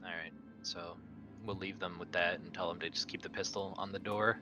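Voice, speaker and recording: Deep-voiced man at a game table. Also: fairly even intonation, a little fast, music in the background. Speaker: player in a tabletop roleplaying game. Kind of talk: casual game-table talk.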